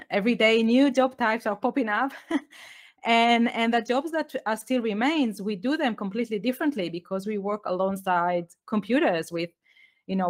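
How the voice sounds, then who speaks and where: A middle-aged woman from Israel with an Israeli accent, a guest on a podcast interview, explaining her ideas earnestly with light laughs. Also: medium pitch. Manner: earnest, explanatory, with light laughs and slightly non-native phrasing. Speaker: guest, education author.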